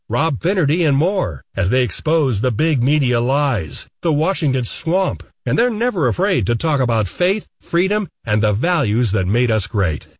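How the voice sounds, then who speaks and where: A man's voice: medium pitch, slightly rough, no echo, studio quality. A man reading a scripted commercial in an upbeat, persuasive tone. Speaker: announcer in a commercial. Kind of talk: scripted ad read.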